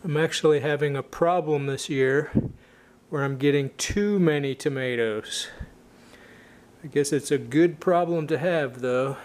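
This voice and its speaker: A man's voice: a little high, a little slow, a little mellow. A man with a plain, folksy way of talking, casually narrating his home gardening vlog.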